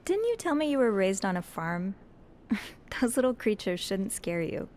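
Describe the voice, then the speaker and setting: A friendly, inquisitive young hotel maid in a narrative video game. She speaks naturally and casually at a medium pitch, a little hesitant and softly teasing, over faint background noise. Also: sing-song, unhurried. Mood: shy, teasing. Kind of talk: natural conversational game acting.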